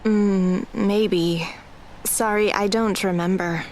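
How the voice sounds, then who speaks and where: A woman playing an anxious, self-doubting teenage girl in an audio drama, halting and nervous. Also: mid-range voice, steady tone, darkish tone, a little hiss or hum, rough recording. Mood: guarded.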